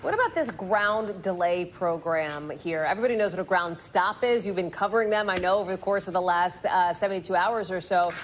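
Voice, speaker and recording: A woman's voice: lowish voice, a little fast, hardly any pauses, a little hiss or hum. An American woman anchoring live news in a conversational broadcast style.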